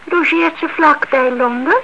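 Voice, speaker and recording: A woman's voice: sits high, unhurried, bright, forceful tone, heavily acted. A woman acting in a radio drama, playing a chatty, hospitable and inquisitive neighbour who fusses and insists. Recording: dull, faintly hissy old radio recording.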